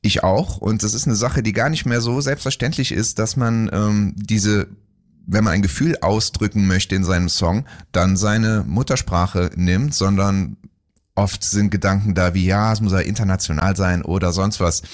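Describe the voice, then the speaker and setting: A low-voiced man hosts a radio interview in a studio, asking his guest friendly, engaged questions. His pitch climbs noticeably whenever he poses a question. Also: moderate pace. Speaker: radio host.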